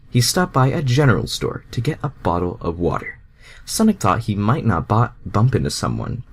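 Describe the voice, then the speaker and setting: A man with a fairly low, highly animated voice reads a story aloud in a casual, amateur way. He trips over the text and drops into irreverent, exasperated asides with plenty of swearing. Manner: stumbles over the text while reading. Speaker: amateur fan fiction reader.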